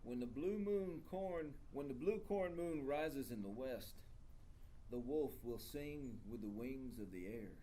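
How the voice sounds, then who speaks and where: A man's voice: medium pitch, a little slow, mellow, a little hiss or hum, lightly acted, rough recording. A man playing an intense, profane DJ mentor who speaks with emphatic, solemn grandiosity in a comedy table read. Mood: mystical, slow.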